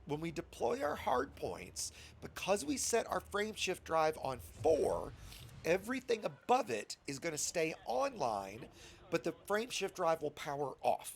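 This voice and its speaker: A man with a scratchy voice from a cold leads a casual game tutorial, coaching a beginner step by step and chatting to his viewers. His voice sits fairly high and swings widely in pitch. A gravelly fry runs under his words. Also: huge pitch swings, a little slow. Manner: patient, chatty instructor addressing viewers.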